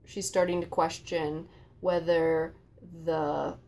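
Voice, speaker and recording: A woman's voice: low-pitched, little pitch movement, takes it slowly, vocal fry, darkish tone, a little hiss or hum. A woman talking casually about books as a co-host of a book channel.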